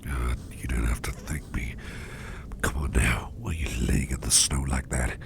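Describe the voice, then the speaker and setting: Man as a very deep, gravelly whispering caring boss. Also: steady tone, moderate pace, background noise. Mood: soothing, concerned. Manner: soothing, hesitant, repeating reassurances. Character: caring, protective male boss, gentlemanly businessman, soft-spoken and doting. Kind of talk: ASMR roleplay, intimate personal attention.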